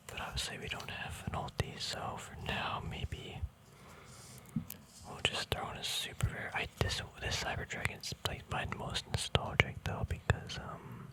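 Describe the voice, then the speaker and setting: A man whispering casual ASMR to viewers in a breathy, unvoiced voice, rambling and thinking aloud without hurry, very close to the mic. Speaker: ASMR host. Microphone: mic very close to the mouth and nose.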